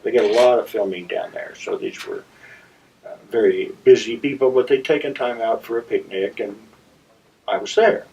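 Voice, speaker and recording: A man hosting a story segment, telling a personal anecdote to viewers in a deliberate, theatrical raconteur's manner with dramatic pauses. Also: mid-range voice, sing-song, gravelly, dark tone, husky. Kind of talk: anecdotal monologue addressed to viewers. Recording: slightly distant, dull lo-fi sound.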